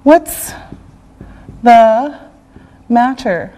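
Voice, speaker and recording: A woman's voice: on the high side, lively intonation, drawn-out words, mellow, rough recording. A woman teaching an English lesson to learners, drilling short phrases with patient repetition and careful enunciation. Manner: clear, carefully spaced enunciation.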